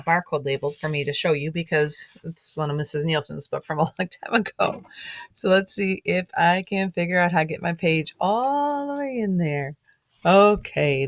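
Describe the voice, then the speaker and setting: A woman's voice: lowish voice, expressive, moderate pace, no echo. A middle-aged woman, a teacher reading a picture book aloud to children and adding chatty, questioning asides. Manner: asks listeners frequent gentle questions, child-directed.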